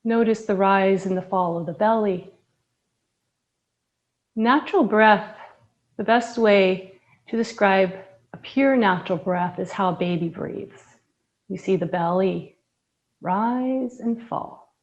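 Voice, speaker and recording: A woman leads a breathing meditation as a yoga teacher, her voice soft and soothing. She speaks very slowly and leaves long pauses between phrases. The recording sounds slightly dull and lo-fi, and her voice sits at a middle pitch.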